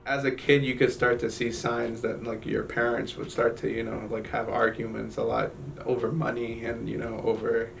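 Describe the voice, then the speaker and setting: Young man from Guatemala, gravelly flat voice. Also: medium pitch, far from the mic, faint music behind. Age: young adult. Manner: halting, many fillers and restarts. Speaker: interviewee. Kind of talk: personal story in an interview.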